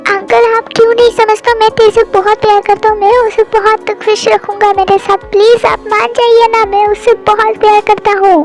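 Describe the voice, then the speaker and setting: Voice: very high-pitched, little pitch movement, moderate pace, hardly any pauses, soft background music, pitched above the performer's own voice. A young performer voicing an earnest, lovesick young soldier in an amateur voice drama. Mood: pleading. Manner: pleading, reassuring. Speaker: voice actor in a fan drama.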